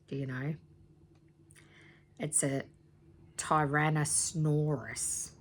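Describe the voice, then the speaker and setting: A woman reading a children's story aloud in an expressive, dramatic teacherly way, with asides to young listeners. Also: deep voice, drawn-out words, a little hiss or hum. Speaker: teacher reading aloud to primary school students.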